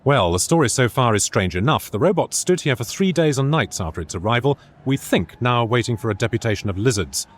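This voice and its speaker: Actor as earnest british news presenter guy, medium pitch, live radio report, played straight. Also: lively intonation, brisk, few pauses, natural voice. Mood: earnest, measured.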